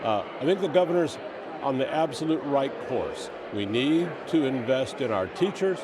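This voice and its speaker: A man's voice: mid-range voice, animated, moderate pace. A male state representative answering interview questions in an assertive, emphatic way. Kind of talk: political interview response. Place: large indoor statehouse lobby. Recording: noisy public lobby ambience.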